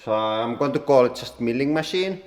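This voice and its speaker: A man with a Finnish accent hosting a casual tour of his workshop, talking in plain, halting English with many fillers. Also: medium pitch, animated. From Finland. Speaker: workshop owner and channel host. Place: large industrial workshop hall. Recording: roomy echo with long hall reverb.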